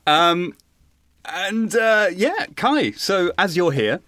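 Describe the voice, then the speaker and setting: A man's voice: sits high, big pitch swings, slow, crowd noise. A man hosting a podcast in a casual, jokey chat style with hesitant phrasing. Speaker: podcast host.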